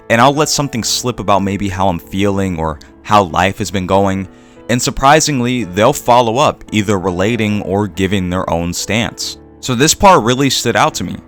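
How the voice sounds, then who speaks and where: A man narrating a scripted video essay in a reflective, measured way with deliberate pauses. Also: lowish voice, fairly bright tone. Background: background music.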